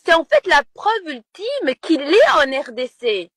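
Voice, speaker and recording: High-voiced woman, insistent. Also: big pitch swings, measured pace, a bit bright, no room sound, clean studio sound. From Rwanda. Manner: insistent, repeats words when stumbling. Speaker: interview guest. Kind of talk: impassioned political interview.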